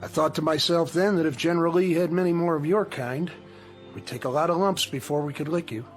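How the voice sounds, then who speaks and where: Actor as a higher-voiced, calm officer. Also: male voice, close to an everyday voice. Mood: resigned. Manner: polite, unhurried, stoic. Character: calm, courteous, resigned ghostly Union lieutenant. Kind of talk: dramatic radio play acting. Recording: studio radio drama with music underneath.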